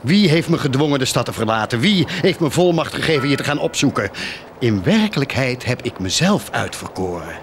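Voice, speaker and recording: With clear noise behind him, a humble parish priest , acted by a man, speaks in a radio play at a medium pitch. He is anguished and guilt-ridden, with a solemn, halting, tormented delivery. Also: sing-song, lightly acted. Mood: anguished, confessing. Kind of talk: radio drama acting.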